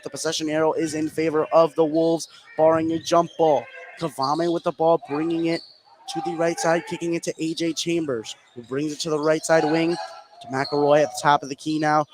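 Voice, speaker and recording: A man doing live basketball play-by-play on the radio, narrating the action in short running phrases. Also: fairly high pitch. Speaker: play-by-play commentator. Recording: noisy live gym broadcast.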